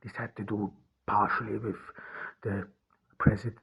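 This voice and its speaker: A man from Austria, an author speaking in a personal podcast monologue, halting and often repeating and restarting his words. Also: fairly low pitch, flat delivery, gravelly, fairly bright tone, slightly rough, rough recording.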